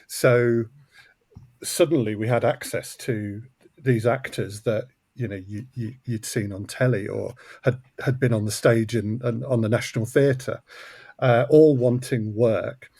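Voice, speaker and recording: A man's voice: mid-range voice, fairly even intonation, measured pace, darkish tone, husky, no room sound. A man who makes audio drama, talking casually as an interview guest in a thoughtful, hesitant way, often repeating words.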